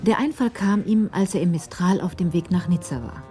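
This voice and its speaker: A woman narrating a literary crime story in a radio drama, in a measured storytelling manner. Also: lowish voice, warm tone, soft background music, close to an everyday voice. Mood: measured, suspenseful.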